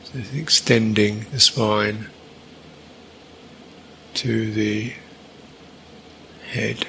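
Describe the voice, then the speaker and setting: A man teaching a guided meditation, speaking in short, halting phrases with long pauses between them. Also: lowish voice, fairly even intonation, vocal fry, a bit bright, a little hiss or hum.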